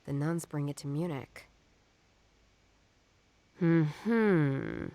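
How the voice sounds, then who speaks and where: A woman's voice: low-pitched, sing-song, extremely slow, gravelly, mellow, no room sound, exaggerated voice. An actress voicing a stubborn, skeptical woman detective in a noir game, wry and musing as she reasons things out. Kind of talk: noir detective game voice acting, inner monologue.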